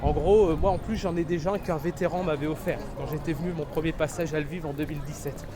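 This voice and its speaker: Young male street vlogger, slightly high voice, rambling slangy chat, loud traffic behind. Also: steady tone, quick pace. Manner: chatty, rambling, crude slang. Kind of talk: casual walking vlog. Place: city streets outdoors. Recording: outdoor street noise. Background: traffic and street noise.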